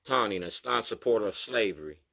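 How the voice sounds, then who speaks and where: A man with a fairly low voice talks straight to the viewer in a plain monologue. He is insistent and keeps checking in with "you feel me?" as he presses each point. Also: lively intonation, a little fast, bright, forceful tone. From Baltimore, USA. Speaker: activist. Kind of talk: direct-to-camera monologue going through documents.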